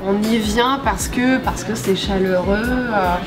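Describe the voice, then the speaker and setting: A young woman from Paris with a Parisian French accent, answering casually in an interview and pausing to find her words. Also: medium pitch. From Paris, France. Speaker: trade student being interviewed. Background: loud background music.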